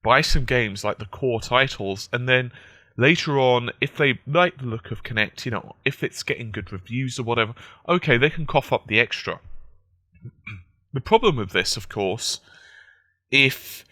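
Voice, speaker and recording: A man with a croaky, just-woken-up voice, giving casual, analytical gaming news commentary. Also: mid-range voice, normal speed, sharp tone, dry recording. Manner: conversational, analytical.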